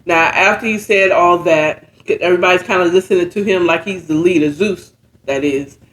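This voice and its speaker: A woman informally retelling a story in a halting, conversational way, often checking in with her listeners. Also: lowish voice, low-quality recording. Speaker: storyteller retelling a book chapter.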